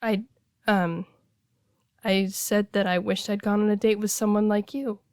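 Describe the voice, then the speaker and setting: Woman playing blunt heartbreaker friend, natural conversational delivery, hesitant and expressive, mid-pitched, very dry sound, intimate audio roleplay. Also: unhurried, no room sound, lightly acted. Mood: flustered, resigned. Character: casual, blunt heartbreaker friend secretly in love.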